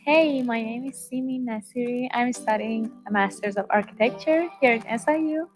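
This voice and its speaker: Higher-voiced young Iranian woman. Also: moderate pace, faint music behind. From Iran. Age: young adult. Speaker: student giving a testimonial. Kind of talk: testimonial to camera.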